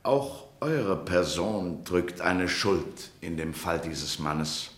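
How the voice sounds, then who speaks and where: Slow, low-voiced courtly official. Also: male voice, expressive, exaggerated voice. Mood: grave, candid. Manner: measured, courtly, formal. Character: dignified, frank male court official advising his prince. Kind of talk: German radio play acting. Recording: echoey room.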